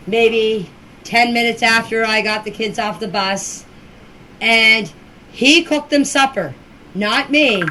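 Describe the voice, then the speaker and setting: An older woman giving an informal personal account in a deliberate, halting way, with short emphatic phrases, recorded as an amateur voice message. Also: fairly high pitch, fairly bright tone, a little hiss or hum, poor audio. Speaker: relative recounting events. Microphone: voice clip recorded for group chat.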